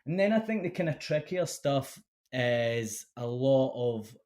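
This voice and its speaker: A Scottish man in his thirties, a guest speaking casually in an interview, halting with many fillers and restarts. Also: mid-range voice, expressive, a little mellow. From Scotland. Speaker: guest, PhD candidate.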